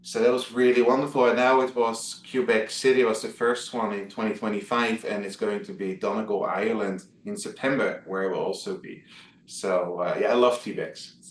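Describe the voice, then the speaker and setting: Dutch man, low voice, echoey room. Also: fairly even intonation, normal speed. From Netherlands. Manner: hesitant, many fillers and restarts. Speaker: guest, travel blogger. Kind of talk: casual interview over a video call. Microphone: video call.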